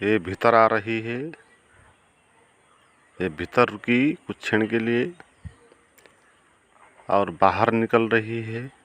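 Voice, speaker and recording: A man guiding a meditation in a calm, unhurried way, with long pauses between short phrases. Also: on the low side. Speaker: meditation guide. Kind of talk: guided meditation.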